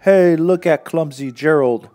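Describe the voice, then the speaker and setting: Excitable, brash animals from a jungle picture book, all done by one man. The voice is raised well up in pitch and loud, exclaiming with heavy emphasis. Music runs behind. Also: measured pace, lightly acted. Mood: jeering, mocking.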